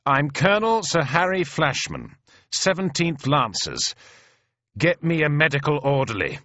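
A man's voice: a little high, wildly swooping pitch, a little slow, bright, forceful tone, no room sound, lo-fi sound, pitched above the performer's own voice, full character voice. A man voicing an aristocratic British cavalry colonel who barks orders in a brusque, commanding way.

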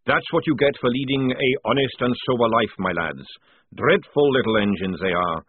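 Man playing a gruff, barking army sergeant, phone-thin sound. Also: medium pitch, moderate pace, no room sound, phone-quality sound, lightly acted. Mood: brisk, menacing. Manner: mock-formal, colloquial parade-ground bark. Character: gruff, crafty, barking army sergeant, loyal and cheerfully menacing.

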